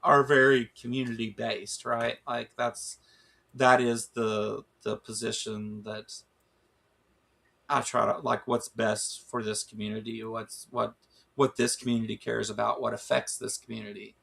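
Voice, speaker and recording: A man's voice: fairly low pitch, level tone, unhurried, vocal fry, sharp tone. A man co-hosting a casual livestream chat, rambling with lots of restarts and fillers, losing his voice. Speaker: show co-host.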